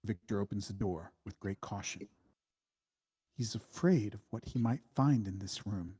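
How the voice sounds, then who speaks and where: A man reading screenplay stage directions as dramatic narration, in a deliberate, suspenseful way. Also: on the low side, mellow, slightly rough.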